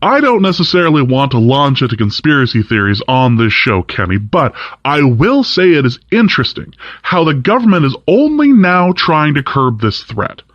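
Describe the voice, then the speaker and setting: Lively, opinionated talk radio host. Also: male voice, fairly high pitch, normal speed, few pauses, no room sound, muffled recording. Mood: indignant. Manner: emphatic, opinionated. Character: indignant male talk radio host, skeptical of government. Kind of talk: talk radio monologue acting.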